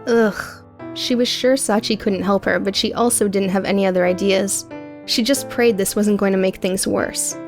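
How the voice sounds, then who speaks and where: A woman's voice: medium pitch, steady tone, normal speed. Woman narrating fiction over faint music. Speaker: audiobook narrator. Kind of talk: dramatic scripted narration.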